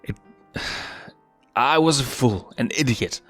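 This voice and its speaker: A man's voice: medium pitch, slow, fairly bright tone, faint music behind, pitched above the performer's own voice. Man voicing a brooding, frustrated young lion. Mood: upset, self-blaming. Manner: agitated, brooding.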